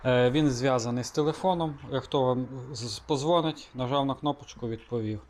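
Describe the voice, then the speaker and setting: A man giving a relaxed, casual product demonstration, thinking aloud with small hesitations. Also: medium pitch, fairly quick. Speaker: motorcycle vlogger.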